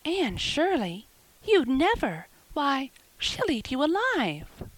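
A woman voicing an excitable, loyal young girl who talks quickly and with girlish emphasis. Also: on the high side, dry recording, pitched above the performer's own voice, poor audio. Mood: excited.

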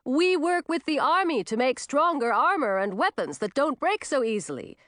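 A woman voicing a cheerful, slightly puzzled witch in a storybook, who booms and shouts everything she says. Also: very animated, normal speed, no room sound, clean studio sound. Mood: proud. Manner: booming, shouting delivery.

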